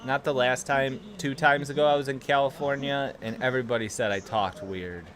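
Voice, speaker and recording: A man's voice: medium pitch, steady tone, fairly quick, crowd noise. A young man with a Chicago accent, hosting a casual livestream from a small room at home and telling rambling, profane stories to his chat. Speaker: livestream host.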